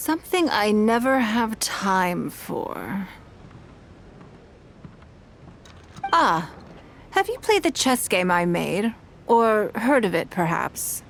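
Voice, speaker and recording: A woman voicing an elegant, shrewd and courteous businesswoman in scripted video game dialogue, speaking in a poised, measured and articulate way. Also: mid-range voice, very animated, vocal fry, slight background noise, heavily acted. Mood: curious, inviting. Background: background music.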